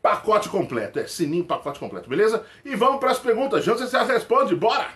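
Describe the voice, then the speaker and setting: A man's voice: high-pitched, fairly quick. A man in his forties, a comedian from Rio de Janeiro, chatting to camera and answering viewer questions in a small room. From Rio de Janeiro, Brazil. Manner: animated, jokey, laughs often, slangy. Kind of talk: casual chat to camera. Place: small indoor room with toy shelves. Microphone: webcam close to face.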